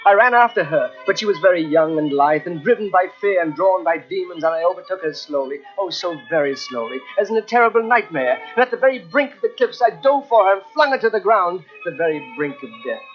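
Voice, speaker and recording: An actor playing the urgent hero and narrator of a haunted-house radio mystery, with theatrical intensity. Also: male voice, sits high, expressive, quick pace, few pauses, faint music behind. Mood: breathless suspense. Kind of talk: old-time radio drama acting. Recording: muffled old radio cassette transfer.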